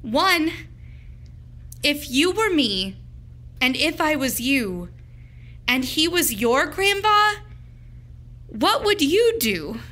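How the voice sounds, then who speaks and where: A woman voicing an earnest second-grade girl from a storybook, small-voiced, halting and unsure. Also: high voice, sing-song, sharp tone, full character voice. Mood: earnest pleading. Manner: halting, tentative.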